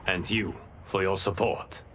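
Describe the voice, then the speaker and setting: An actor playing a calm, authoritative god and firm protector in a cinematic video game, speaking formally and sternly. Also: male voice, low-pitched, unhurried, a little hiss or hum.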